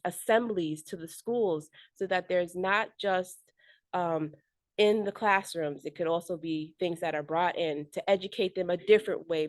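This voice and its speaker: A woman in her forties gives an unprepared speech at a neighborhood meeting in a somewhat low voice. She talks earnestly but halts often, filling the gaps with ums and starting sentences over. The sound is dry, with little room echo. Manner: earnest, halting, with frequent ums and restarts. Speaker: school board candidate.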